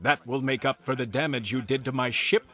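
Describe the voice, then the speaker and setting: A man voicing a pompous, greedy alien villain in golden armor for a children's audiobook, deliberate and imperious. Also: mid-range voice, bright, forceful tone, soft background music, lightly acted. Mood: cold, calculating.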